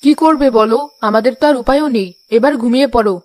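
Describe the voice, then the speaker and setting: A woman voicing a fast-talking old village wife. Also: fairly high pitch, a little mellow, a little hiss or hum, close to an everyday voice. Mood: practical. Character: worried, practical old village wife and mother. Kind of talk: cartoon fairy tale dialogue.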